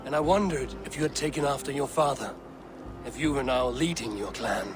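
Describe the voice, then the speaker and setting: Guy playing steady viking raider in a video game, weighty deliberate talk, mid pitch, music behind. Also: natural voice. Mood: fond, amused. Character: Viking warrior raider, grown man, steady and plainspoken. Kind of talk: dramatic game cutscene dialogue.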